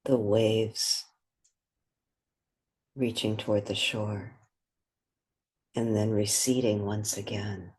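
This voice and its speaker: Slow, breathy woman guiding a meditation. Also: rumbling low, flat delivery. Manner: gentle, unhurried, with long pauses between phrases. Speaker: meditation guide. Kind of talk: guided meditation.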